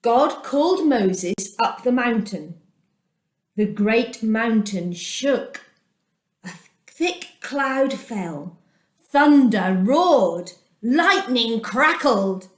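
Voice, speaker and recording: Brief: woman storyteller, higher voice, expressive children's Bible reading, roomy echo. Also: drawn-out words.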